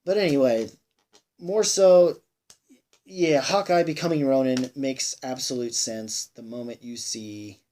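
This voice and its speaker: A man giving a casual, unscripted movie review, rambling and halting, repeating himself emphatically and sounding a bit tired. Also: medium pitch, warm tone. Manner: sweary, emphatic. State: tired, sighing.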